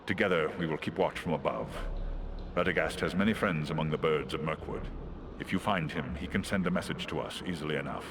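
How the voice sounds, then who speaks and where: An actor playing a noble, wounded talking eagle in a fantasy game, stately and measured, with a very deep, gravelly voice. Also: male voice. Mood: grave, resolute. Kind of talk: fantasy game cutscene acting. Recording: loud background noise.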